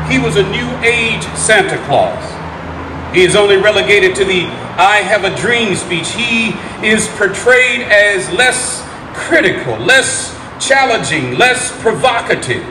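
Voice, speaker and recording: High-pitched, impassioned man as an orator. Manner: oratorical, emphatic short phrases. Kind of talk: dramatic stage reading. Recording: echoey room, distant mic, background noise.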